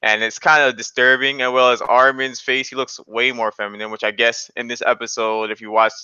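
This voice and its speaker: Bright, clear-voiced man, dry lo-fi mic. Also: medium pitch, flat delivery, fairly quick, dry recording. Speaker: podcast host. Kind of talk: casual anime discussion.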